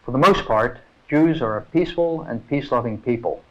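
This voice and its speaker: A man's voice: medium pitch, fairly quick, muffled recording. An American man delivering an earnest prepared statement on a harsh old film soundtrack. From United States.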